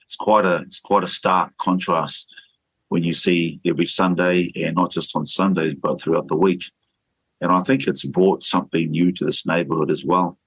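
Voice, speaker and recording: A man's voice: low voice, steady tone, normal speed, fairly bright tone, slightly rough, no room sound, low-quality recording. A man leading a church, speaking earnestly and steadily in a radio interview, with fillers and restarts. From New Zealand. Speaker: church leader.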